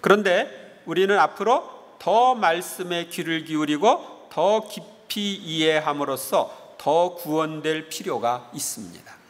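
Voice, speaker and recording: High-voiced priest preaching in echoing church. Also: male voice, expressive, takes it slowly. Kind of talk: homily with anecdotes. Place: large church interior. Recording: reverberant church hall with long echo.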